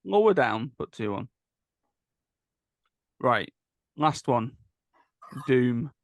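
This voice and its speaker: A man's voice: mid-range voice, animated, drawn-out words, creaky, no room sound. A man leading a casual film discussion as a podcast host. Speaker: teacher.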